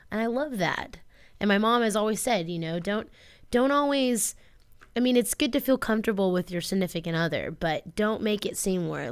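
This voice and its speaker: A woman's voice: on the low side, clear, resonant tone. A woman co-hosting a radio show in casual chat, telling stories in an earnest, rambling way. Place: community radio studio.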